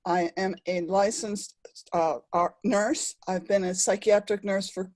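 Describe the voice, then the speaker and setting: Middle-aged woman, halting, mellow voice. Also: mid-range voice, steady tone. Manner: halting, many restarts. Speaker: psychiatric nurse. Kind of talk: video statement.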